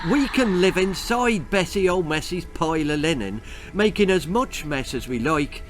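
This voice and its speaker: Man putting on a lively little Irish leprechaun. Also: a little high, normal speed, pitched above the performer's own voice, natural voice. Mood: confiding, gleeful. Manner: chatty, folksy, repetitive greetings. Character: tiny wrinkled old leprechaun, cheery, forgetful and scatterbrained.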